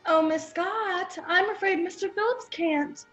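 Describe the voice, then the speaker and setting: A woman playing a timid, apologetic, halting secretary in a 1940s radio comedy. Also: very high-pitched, fairly bright tone, soft background music. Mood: timid, hesitant. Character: timid, hesitant female office secretary.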